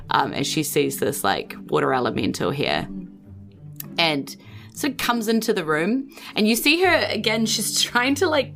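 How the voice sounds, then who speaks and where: Kiwi woman running a tabletop game, low creaky voice, explains things loosely, music under it. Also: fairly bright tone. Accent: New Zealand. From New Zealand. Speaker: game master. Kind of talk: improvised tabletop game narration. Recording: music behind the voice. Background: background music.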